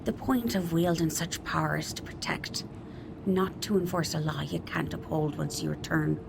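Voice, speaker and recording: A woman playing a grieving, stern leader of the Sirens in a tabletop roleplay, speaking in a measured, grave way. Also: low-pitched, steady tone, gravelly, noisy background. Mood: reproachful.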